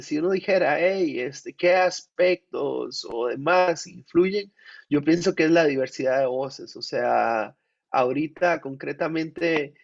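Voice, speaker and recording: A man's voice: fairly high pitch, vocal fry. A man, sick with a cold, answering questions thoughtfully at an art conference, with frequent restarts. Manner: reflective, with many restarts. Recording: muffled, lo-fi audio.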